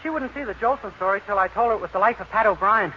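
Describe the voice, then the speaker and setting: Very high-voiced naive young actor playing a singer. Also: male voice, rapid-fire, heavy background noise, natural voice. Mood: naive, earnest. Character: naive, cheerful young Irish-American male singer. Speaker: singer and comic regular. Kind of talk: 1940s radio comedy acting. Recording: dull 1940s radio recording.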